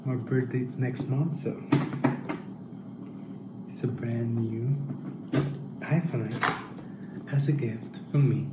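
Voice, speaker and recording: Slow-talking man in a noisy, echoey room. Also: mid-range voice, steady tone. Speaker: presenter of a parody unboxing video. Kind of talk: casual unboxing vlog, comic. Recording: roomy echo, loud background noise.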